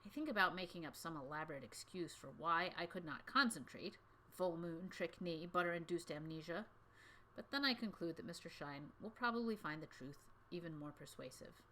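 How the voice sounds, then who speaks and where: A woman co-hosting a children's book readalong, with expressive storybook narration and light banter. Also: on the low side, moderate pace, slight background noise, poor audio. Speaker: co-host reading a children's book aloud.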